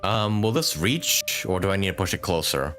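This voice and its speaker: A man's voice: sits low, lively intonation, moderate pace, fairly bright tone, soft background music. A man live-streaming a video game with casual commentary, thinking aloud and chatting with his viewers.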